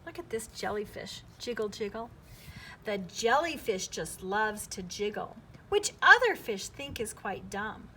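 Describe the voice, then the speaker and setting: A woman teacher reading a children's picture book aloud, expressive, with simple prompts aimed at young listeners. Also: medium pitch, unhurried, a little hiss or hum.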